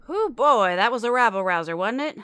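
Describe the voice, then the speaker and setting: A woman's voice: a little high, lively intonation, fairly quick, sharp tone, clear, resonant tone, no room sound. A woman playing a zealous, chatty Baptist preacher with a Southern US accent, rambling emphatically through a midnight radio sermon. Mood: folksy exasperation.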